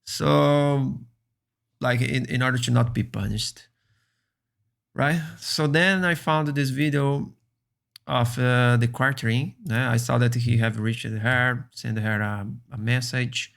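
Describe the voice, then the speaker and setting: A man whose first language is Brazilian Portuguese, speaking English earnestly and haltingly as a streamer reacting to a video. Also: medium pitch, fairly even intonation, vocal fry, fairly bright tone. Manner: earnest, halting, many restarts.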